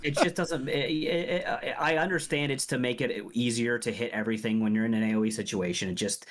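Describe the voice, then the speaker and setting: A man with a mid-range voice co-hosting a relaxed gaming podcast. He stumbles and restarts often, hedging his points as he goes. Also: mid-range voice, fairly quick. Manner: hesitant, restarts and hedges often. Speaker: podcast host. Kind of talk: casual gaming chat.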